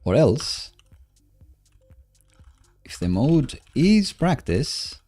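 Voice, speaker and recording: A man casually commentating as he codes live, musing and questioning himself in a halting way. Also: medium pitch, big pitch swings, warm tone, soft background music. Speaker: live-streaming programmer host. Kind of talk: casual live coding commentary, thinking aloud.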